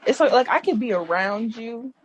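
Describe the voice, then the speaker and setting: A woman's voice: a little high, slight background noise. A young woman with a stuffy cold, chatting casually on a podcast and filling her talk with "like" and "you know.". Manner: talks slightly oddly with retainers in; halting, full of "like, you know".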